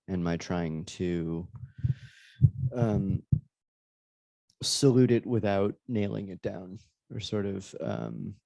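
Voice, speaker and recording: A man with a very low, gravelly and mellow voice talks as a guest author at a book event. He is reflective and halting, often pausing to find the right word. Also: dry recording. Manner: thoughtful, halting, searching for words. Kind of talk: live audience book discussion.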